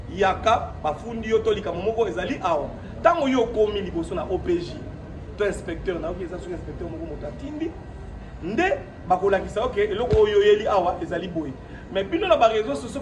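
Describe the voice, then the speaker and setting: A man with a Congolese accent giving an improvised press statement in a defiant, emphatic manner, repeating himself and asking rhetorical questions. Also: sits high. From Democratic Republic of the Congo. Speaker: speaker at his own press conference. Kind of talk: press statement mixing French and Lingala, partly improvised. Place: echoey room at his own premises. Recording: roomy, distant microphone, noisy background.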